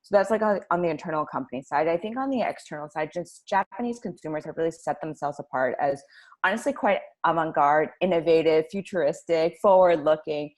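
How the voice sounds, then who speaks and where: A woman's voice: low-pitched, fairly quick, a little mellow. A woman executive answering panel questions in an enthusiastic, casual manner. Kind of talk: online panel discussion.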